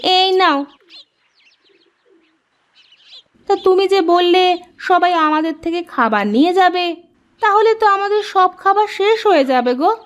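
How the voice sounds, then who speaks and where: Cartoon village wife and mother, played by a woman: high voice, very animated, worried and pleading. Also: normal speed. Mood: anxious, doubtful. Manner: pleading, expressive storybook delivery.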